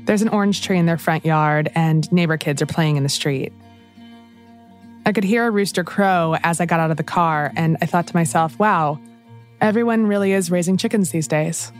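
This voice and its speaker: A woman hosting a podcast, calmly narrating a scripted story. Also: on the low side, moderate pace, faint music behind. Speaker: podcast host.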